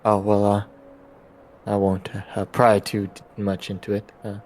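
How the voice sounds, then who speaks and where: A man playing a tabletop role-playing game, improvising in-character dialogue in a halting, hesitant way with trailing phrases. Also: lowish voice, monotone, darkish tone.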